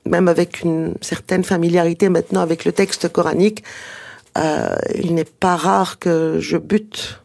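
A native Arabic speaker of medium pitch giving explanations in an interview, hesitant and digressive, with emphatic points. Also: fairly even intonation, a little slow, creaky, a little mellow. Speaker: interviewed author and researcher on early Islamic texts.

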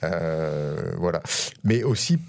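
A man's voice: low voice, expressive, takes it slowly, gravelly, a little mellow. A middle-aged man from France, speaking as an interview guest, hesitant with many fillers and restarts. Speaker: guest, school director. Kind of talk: interview on radio.

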